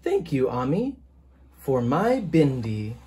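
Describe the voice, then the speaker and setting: Mellow, expressive storybook reader. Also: medium pitch, slow. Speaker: teacher reading a picture book aloud. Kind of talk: expressive children's storybook read-aloud.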